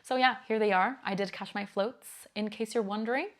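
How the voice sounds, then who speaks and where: A woman's voice: medium pitch, fairly even intonation, a little fast. Brief: young woman from Italy, casual vlog host, candid and chatty, laughs easily. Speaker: knitting YouTuber.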